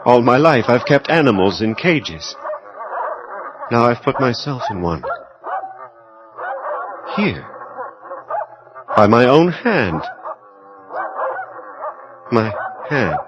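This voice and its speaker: An actor playing a tormented, menacing scientist, theatrical and brooding, in a horror radio drama. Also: male voice, medium pitch, very animated, slow, pauses often, noisy background. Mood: despairing. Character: unhinged, tormented, menacing male research scientist.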